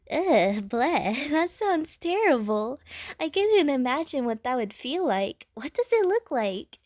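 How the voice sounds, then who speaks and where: Woman voicing a chatty, high-pitched little slime girl. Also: a little mellow, no room sound, clean studio sound, full character voice. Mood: amazed, grossed out. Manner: naive, chatty, rambling, with bubbly verbal bloops. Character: friendly, bubbly female water slime living in a pond. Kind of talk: audio roleplay addressed to the listener.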